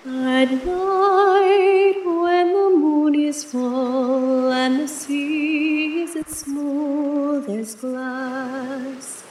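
High-voiced woman singing a slow ballad. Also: fairly even intonation, darkish tone, reverberant, soft background music.

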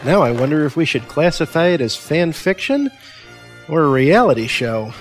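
A man acting as the brisk boss of an audio drama crew in a scripted comedy skit. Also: fairly high pitch, big pitch swings, faint music behind. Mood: brisk, wry. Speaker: podcast crew member playing himself.

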